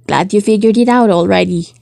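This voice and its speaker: A woman's voice: medium pitch, moderate pace, creaky, mellow, no room sound, studio quality, close to an everyday voice. Woman reading as a confident flirty bachelor. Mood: smooth, teasing. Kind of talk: audiobook character dialogue.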